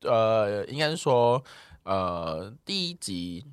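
A young man with a lowish voice and very varied intonation co-hosts a casual podcast. He hesitates between phrases and keeps guiding the talk back to the point. The recording is close and dry. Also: sing-song, takes it slowly, clean tone, no room sound. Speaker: podcast co-host. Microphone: close studio microphone.

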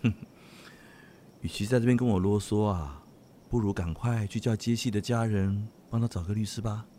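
An actor playing a bullying government agent in a radio drama, contemptuous and threatening. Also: male voice, lowish voice, steady tone, moderate pace, dark tone, a little hiss or hum, close to an everyday voice. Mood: sneering. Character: sneering, bullying government agent making an arrest.

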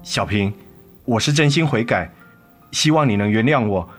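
An actor playing a tender, remorseful father and ex-husband in a radio drama, earnest and gentle in manner. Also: on the low side, expressive, moderate pace. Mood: remorseful, pleading. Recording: music under the voice.

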